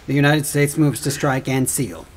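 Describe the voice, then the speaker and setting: An actor playing a formal, insistent government attorney arguing in an old-time radio courtroom drama. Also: male voice, mid-range voice, normal speed, lightly acted. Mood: insistent, dismissive. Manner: formal, argumentative. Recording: faint background noise.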